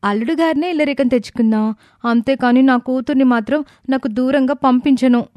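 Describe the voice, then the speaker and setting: An anxious, deferential young wife and daughter-in-law in a storybook family drama, played by a woman. Her voice sits a little high. The sound is dry and close. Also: fairly quick, darkish tone, no room sound, lightly acted. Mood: firm, possessive. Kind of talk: acted dialogue in an audio moral story.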